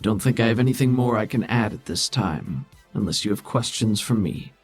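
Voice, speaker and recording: A man playing a cool, scheming, condescending political mastermind who speaks formally and with measured authority in a tabletop game. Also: lowish voice, expressive, husky, faint music behind. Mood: calculating.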